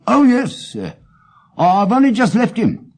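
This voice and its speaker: Casting: man playing indignant but deferential English shopkeeper for an audiobook; high, very animated, emphatic and plaintive. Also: unhurried, heavily acted. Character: aggrieved, simple male London tradesman, earnest and indignant. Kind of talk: dramatic audiobook character reading.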